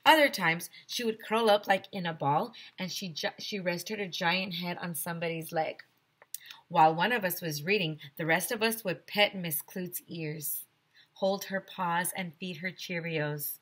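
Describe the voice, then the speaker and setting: A woman teacher giving an expressive children's read-aloud, explaining things clearly with asides for young listeners. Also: fairly low pitch, a little slow. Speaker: teacher reading aloud to pupils.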